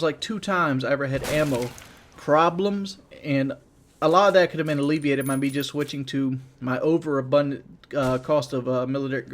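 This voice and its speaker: A man giving casual, rambling game commentary as a YouTuber, blunt and monotone, with frequent restarts. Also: on the high side. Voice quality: self-described monotone.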